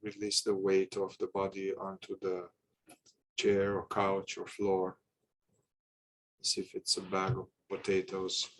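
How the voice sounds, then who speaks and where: A man guiding a meditation with calm instruction, speaking in unhurried, halting short phrases with long pauses. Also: deep voice, rough recording. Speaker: meditation guide.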